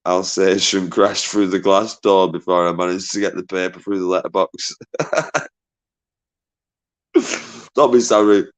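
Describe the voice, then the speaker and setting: A man hosting a casual live stream, swearing freely, laughing a lot and bantering with callers in a loud voice. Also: clear, resonant tone. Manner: says he has a deep, loud voice. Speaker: livestream host.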